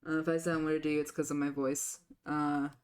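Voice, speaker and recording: A woman streamer chatting casually and rambling with filler restarts, her voice deepened by a sore throat. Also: little pitch movement, a little fast, clear, resonant tone. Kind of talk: casual live-stream chat.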